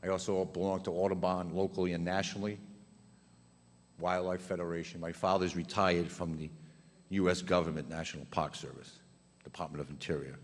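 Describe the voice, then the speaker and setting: Older retired man, very deep voice, rambling public commenter, hall reverb. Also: little pitch movement, brisk. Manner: rambling, lists credentials, drifts off topic. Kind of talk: public comment at town meeting. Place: town council meeting hall. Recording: echoey hall.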